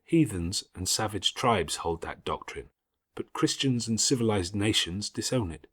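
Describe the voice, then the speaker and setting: Man as a pious, patient schoolgirl, husky tone. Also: lowish voice, expressive, full character voice. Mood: earnest, admonishing. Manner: measured, gently preaching, formal Victorian diction. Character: calm, pious, forgiving older schoolgirl, earnest and patient. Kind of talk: audiobook character acting.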